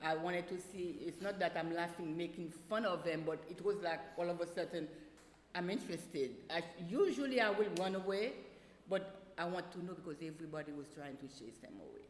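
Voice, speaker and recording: A woman telling casual anecdotes in an animated way, laughing often and acting out what people said. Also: fairly low pitch, a little fast. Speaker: guest, teacher of small children. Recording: roomy echoing space with faint noise.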